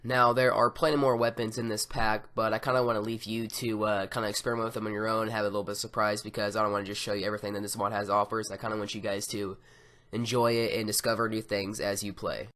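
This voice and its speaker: A man with a raspy, hoarse voice from being sick, casually reviewing game mods with a rambling, filler-heavy delivery. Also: lowish voice, little pitch movement, quick pace, a bit bright. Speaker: gaming YouTuber. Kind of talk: casual mod review over gameplay.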